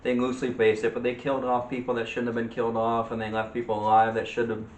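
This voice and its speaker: A man co-hosting a casual movie and TV debate, disagreeing in halting, hesitant phrases. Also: medium pitch, monotone. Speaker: co-host of a movie discussion show. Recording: echoey room with faint background noise.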